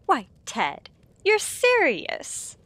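A woman's voice: very high voice, wildly swooping pitch, very slow, fairly bright tone, no echo, full character voice. A woman playing a secretive, sweetly domestic suburban wife in a radio play. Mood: defensive.

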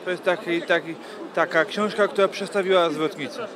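A man's voice: high-pitched, steady tone, normal speed, a crowd in the background. A man in his thirties, a priest, earnestly recommending a book in an interview. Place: busy indoor book fair hall. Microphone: handheld interview mic. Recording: crowded book fair hall.

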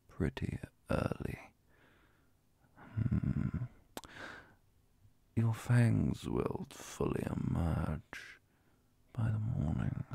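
A man playing a calm, courteous male vampire inquisitor in an ASMR roleplay, speaking softly and formally with whispers and a gravelly, breathy voice. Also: fairly low pitch, no room sound, exaggerated voice. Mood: gently reassuring. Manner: formal, measured, hushed. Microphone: close mic, dry.

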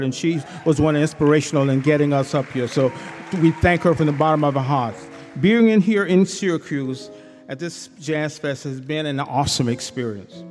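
A university choir director giving gracious, grateful formal remarks during a church service, speaking to an audience in a large chapel. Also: male voice, on the high side. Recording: long chapel reverb, crowd and music behind.

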